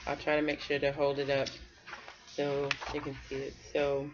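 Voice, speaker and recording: A woman with a low-pitched voice speaks personally to young listeners and reads a storybook aloud. It is an amateur recording with slightly muffled sound. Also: completely flat delivery, moderate pace. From United States. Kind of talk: personal message and children's read-aloud.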